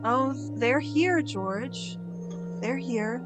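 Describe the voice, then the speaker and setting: A patient guardian angel acted by a woman speaks in calm, solemn tones. The voice sits high and unhurried, with clear music playing under it. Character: gentle older male guardian angel, patient.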